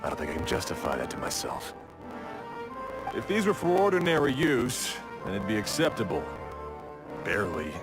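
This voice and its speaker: An actor playing a proud, exacting blacksmith in a video game, speaking in a measured, wry, matter-of-fact way. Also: male voice, on the low side, huge pitch swings. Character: proud, exacting male blacksmith, gruff but obliging craftsman. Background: game music.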